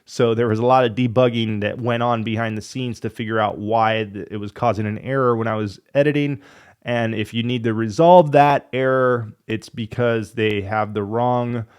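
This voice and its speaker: A man's voice: medium pitch, steady tone, moderate pace, a little mellow, smooth. A man with a cold and a fading voice, talking casually through a coding walkthrough and muttering in frustration as he debugs. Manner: thinks aloud, frustrated muttering while debugging. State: losing his voice, has a cold. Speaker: coding tutorial host.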